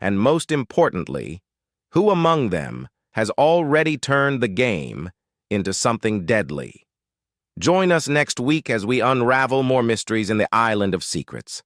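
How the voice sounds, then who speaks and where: A man's voice: medium pitch, big pitch swings, a little slow, a bit bright, dry recording, clean studio sound. A man narrating a suspenseful mystery story in a dramatic, scripted style.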